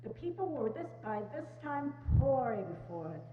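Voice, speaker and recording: A woman's voice: sits high, big pitch swings, unhurried, faint music behind. A woman narrator giving a scripted dramatic reading of a story at a community performance. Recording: muffled, echoey church hall, humming.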